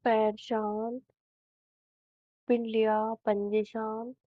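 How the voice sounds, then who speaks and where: A woman with an Indian accent narrating a guided meditation in a soothing, unhurried manner, leaving long pauses between phrases. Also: on the high side, level tone, dry recording. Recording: thin, phone-like narrow band.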